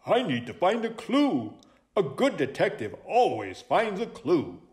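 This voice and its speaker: A man voicing a dramatic, self-important owl detective from a children's storybook, excitable and declamatory. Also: high-pitched, unhurried, pitched above the performer's own voice, full character voice. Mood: earnest resolve. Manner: pompous, declamatory.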